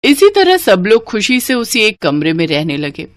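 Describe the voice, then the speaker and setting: A woman narrating a scripted moral story as an expressive storyteller. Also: medium pitch, normal speed.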